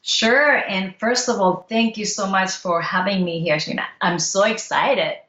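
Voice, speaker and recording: A woman's voice: mid-range voice, unhurried, a bit bright, reverberant, highs cut off. A middle-aged woman from Japan with a Japanese accent, speaking as an interview guest in an earnest, reflective way. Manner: earnest, reflective, simple English phrasing. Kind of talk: casual interview talk.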